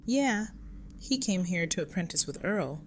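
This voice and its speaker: A woman playing a brisk, authoritative leader in an audio drama. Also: on the low side, animated. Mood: matter-of-fact. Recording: clear background noise.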